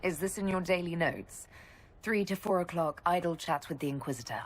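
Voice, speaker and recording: A woman's voice: on the low side, a little fast. An actress playing a wry, composed woman who leads a fantasy order in a video game, with a dry, teasing way of talking.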